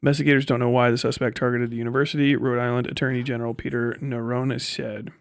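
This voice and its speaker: A man's voice: mid-range voice, little pitch movement, fast talker, gravelly, dry recording, clean studio sound. A man chatting casually as a live streamer, rambling and sardonic, reading things aloud and swearing offhandedly.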